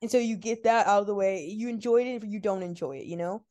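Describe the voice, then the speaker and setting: A woman's voice: mid-range voice, fast, darkish tone. A young woman co-hosting a podcast in casual, slangy chat with a playful, mock-incredulous manner. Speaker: podcast co-host.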